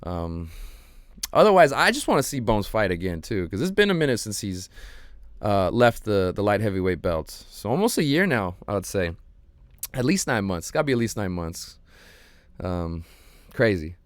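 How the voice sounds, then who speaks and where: Laid-back low-voiced man rambling casually. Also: sing-song, normal speed, no echo. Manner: laid-back, rambling, lots of ums and slang. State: slightly hoarse. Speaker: podcast host. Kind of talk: casual solo livestream chat.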